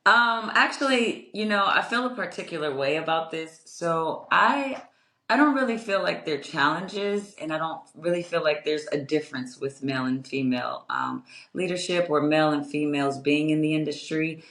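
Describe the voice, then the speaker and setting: A young adult woman with a strong voice, answering interview questions in a measured, reflective way with many fillers. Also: fairly bright tone. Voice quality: strong, low-ish voice. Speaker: guest, construction company owner. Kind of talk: interview conversation. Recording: echoey room.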